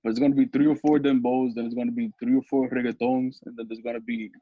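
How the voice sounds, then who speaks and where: A young man with a deep voice, a musician talking casually as an interview guest, rambling with restarts. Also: quick pace, no echo, phone-quality sound. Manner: relaxed, rambling with restarts and laughs.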